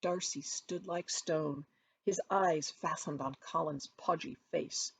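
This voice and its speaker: A woman author reading her own novel aloud in an expressive storytelling narration. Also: low-pitched, slow, vocal fry, slight background noise.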